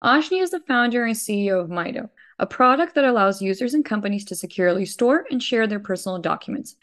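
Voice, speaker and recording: A woman's voice: mid-range voice, lively intonation, fast talker, fairly bright tone. Upbeat woman hosting an interview podcast. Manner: friendly, upbeat questioning. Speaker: podcast host.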